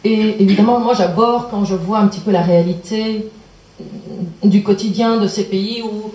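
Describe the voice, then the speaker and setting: A woman's voice: mid-range voice, steady tone, moderate pace, dark tone. A woman from Belgium, asking an earnest, searching question from the audience at a public lecture and circling back on her words. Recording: echoey conference hall.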